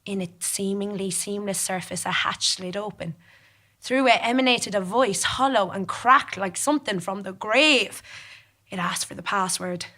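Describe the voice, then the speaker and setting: A woman's voice: medium pitch, normal speed, pushed and bright. A woman performing a scripted comic stage monologue as a ruthless, competitive gambler, with dry, dramatic storytelling. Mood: suspenseful, wry. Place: theatre stage in front of an audience.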